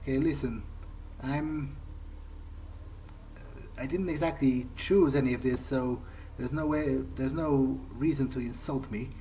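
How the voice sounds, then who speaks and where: A man's voice: medium pitch, fairly even intonation, unhurried, warm tone, a little hiss or hum, rough recording. A man narrating a video game playthrough in character, in a hesitant, musing, conversational way. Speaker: let's play host. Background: game music.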